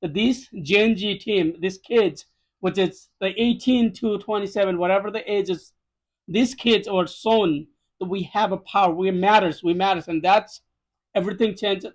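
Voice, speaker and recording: High-pitched man with a Nepali accent. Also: normal speed, poor audio. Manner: emphatic, repetitive non-native phrasing. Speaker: podcast guest. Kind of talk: conversational first-hand account.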